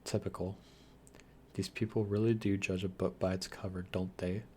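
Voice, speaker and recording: A lonely vampire played by a man speaks in a low voice over noticeable background noise. He is guarded, wry and melancholy, addressing the listener theatrically, as in an ASMR roleplay. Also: little pitch movement, moderate pace, dark tone, lightly acted.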